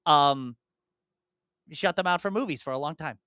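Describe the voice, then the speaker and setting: A man's voice: fairly high pitch, moderate pace, pushed and bright, clear, resonant tone, dry recording, clean studio sound. A young man co-hosting a podcast, talking in an enthusiastic, rambling way with frequent restarts. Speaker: podcast co-host. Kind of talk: casual chat.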